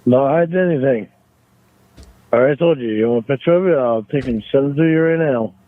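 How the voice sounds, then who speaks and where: A man on a phone call, arguing defensively in a halting, repetitive way. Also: medium pitch, dry recording. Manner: halting, repeats himself, defensive. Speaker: phone caller. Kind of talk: argumentative private phone call. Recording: tinny, narrow phone line.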